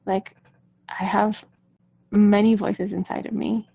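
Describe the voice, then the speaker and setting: A woman's voice: mid-range voice, flat delivery, slow pace, vocal fry, slightly rough, no echo, dull audio. A woman vocal coach speaking casually and reassuringly to viewers in a live-streamed coaching chat.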